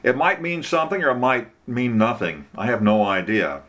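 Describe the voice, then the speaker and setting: A man's voice: mid-range voice, very animated, normal speed, a bit bright. A man reading a story, voicing an earnest, mild-mannered pastor in a plain, hesitant, thoughtful way. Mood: quiet, unsure.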